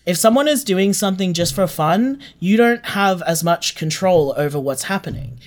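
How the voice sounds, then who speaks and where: A guest chats in an interview about their creative work. The delivery is earnest and informal, with frank, blunt wording and a middling pitch. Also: lively intonation, moderate pace. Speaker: interview guest, audio drama maker. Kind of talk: casual interview chat.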